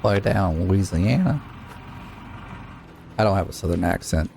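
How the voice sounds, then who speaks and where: A low-voiced man with a gravelly, creaky tone talks his way through a gameplay session in a loose, chatty way. He rambles, trails off and grumbles at the game, and a steady hiss of noise sits under his voice throughout the recording. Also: a little slow. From Utah. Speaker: gaming YouTuber. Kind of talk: casual let's-play commentary.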